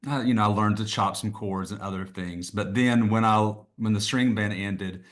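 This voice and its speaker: A middle-aged man, a guest musician, chatting casually and rambling a little, laughing often. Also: on the low side. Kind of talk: casual conversation.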